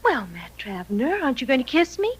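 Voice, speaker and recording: A playful young woman of the old West in vintage radio drama. Her pitch moves animatedly as she teases boldly. The old recording has faint noise. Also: sing-song, a little fast. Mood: teasing. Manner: teasing, coquettish. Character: playful, bold young engaged daughter of frontier mayor. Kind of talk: 1950s radio drama acting.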